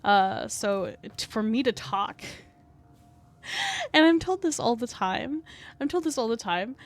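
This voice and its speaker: A woman VTuber chatting casually while she streams a game, with a soft, small voice. She rambles, says "like" constantly and swears offhandedly, sitting very close to her mic at home. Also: on the high side, huge pitch swings, takes it slowly. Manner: rambling, fills every phrase with "like", swears casually. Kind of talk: casual gameplay stream chat. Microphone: desk mic placed very close to the face.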